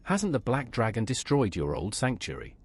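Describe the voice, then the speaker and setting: Husky man with very animated pitch. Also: normal speed. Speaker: player character in a video game. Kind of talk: scripted game dialogue, short questions.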